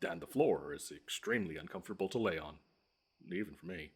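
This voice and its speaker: A man voicing a grumpy, pompous monster from under the bed in an audio roleplay, wordy and dryly sarcastic, with drawn-out menace. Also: deep voice, very animated, vocal fry, breathy edge, exaggerated voice. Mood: smug. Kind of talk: scripted audio roleplay monologue.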